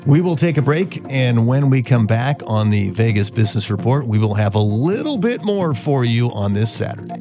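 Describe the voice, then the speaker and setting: A man's voice: mid-range voice, very animated, normal speed, few pauses, over loud music. A man hosting a radio business show, talking conversationally with joking asides.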